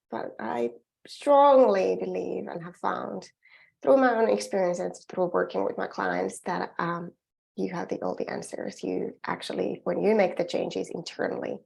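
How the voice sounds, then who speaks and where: A middle-aged Finnish woman speaks English with her native accent, presenting a coaching webinar. Her voice has a gravelly, creaky edge at a middle pitch. She sounds earnest and encouraging and often restarts her sentences. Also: a little mellow, low-quality recording. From Finland. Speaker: emotional well-being coach.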